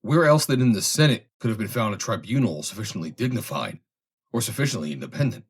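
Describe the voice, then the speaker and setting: A man reading a formal historical essay aloud in a measured, deliberate way. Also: mid-range voice, animated, slightly rough. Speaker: reader, narrator.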